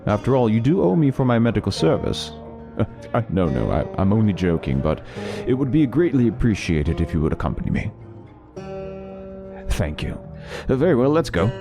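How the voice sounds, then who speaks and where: A kindly travelling doctor from a fantasy tale , played by a man, speaks in a deep voice over faint music. His delivery moves expressively up and down. He is formal, courteous and a little self-conscious, with a hesitant, apologetic way of talking. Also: sing-song, fairly quick, creaky. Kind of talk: fantasy audio drama character acting.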